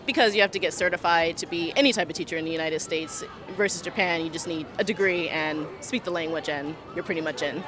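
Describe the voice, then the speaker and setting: A woman's voice: on the low side, fast talker, hardly any pauses. A woman who works as a language teacher, answering street interview questions casually. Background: music and crowd.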